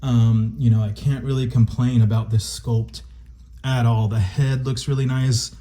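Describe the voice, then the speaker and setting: A man with a dark, mellow voice reviews a toy for his viewers, casual and chatty. He sounds a little stuffy, getting over a cold. His delivery is eager and informal. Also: medium pitch, measured pace. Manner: excited, chatty, addresses viewers as guys. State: stuffy, recovering from illness.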